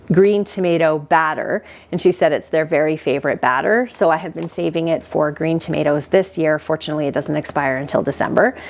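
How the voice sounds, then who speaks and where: A woman with a stuffy, nasal head cold, hosting a casual, chatty kitchen vlog at home. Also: low voice. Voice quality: nasal, congested. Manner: friendly, chatty, rambling with fillers. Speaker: homestead channel host.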